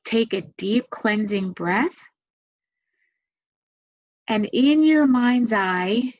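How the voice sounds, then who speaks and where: Female meditation guide, very slow delivery, soothing and gentle with long pauses, slightly muffled lo-fi recording. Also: on the high side, lively intonation. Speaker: meditation guide and massage therapist. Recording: dull, muffled lo-fi sound.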